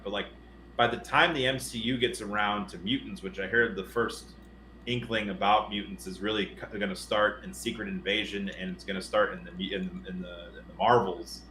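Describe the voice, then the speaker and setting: A man's voice: lowish voice, sharp tone. A man co-hosting a casual podcast, rambling emphatically and often stopping to rephrase mid-thought. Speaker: podcast co-host. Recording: roomy echo, faint noise.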